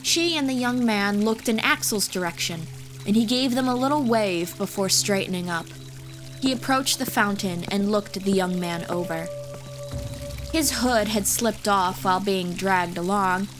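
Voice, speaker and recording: A woman's voice: medium pitch, animated, a little slow. A woman reading scripted fantasy audiobook narration as the storyteller, with music behind her. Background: background music.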